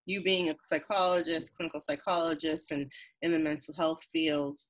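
A woman from Queens, New York, a behavioral therapist who hosts the interview, talking in a warm and effusive way. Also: low voice, level tone, moderate pace. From Queens, New York, USA. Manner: warm, effusive, laughs often. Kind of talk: casual interview.

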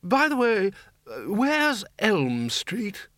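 A meek, apologetic undead wizard with a high voice that wanders up and down, polite and hesitant, as a male narrator puts him on. The sound is dry and close. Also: wildly swooping pitch, very slow, fairly bright tone, no room sound, heavily acted. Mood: fretful.

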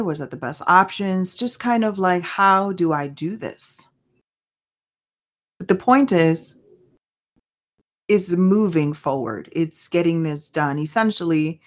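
A fairly low-voiced woman whose first language is Spanish talks through her own faith podcast. She sounds earnest and conversational, often stopping to ask herself questions as she goes. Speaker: podcast host. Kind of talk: casual faith podcast monologue.

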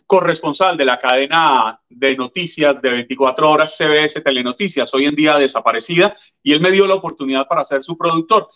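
A Colombian man in his fifties, a journalist answering interview questions in a measured, articulate way. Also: fairly high pitch, fairly bright tone, lo-fi sound. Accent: Colombian, from Tolima. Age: 50s. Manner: articulate, measured, formal address. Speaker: guest journalist.

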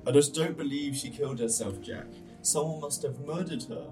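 A man's voice: a little high, moderate pace, natural voice. Man playing grieving, headstrong young British man, music behind. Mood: upset, troubled. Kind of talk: radio drama dialogue. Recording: distant voice under prominent music.

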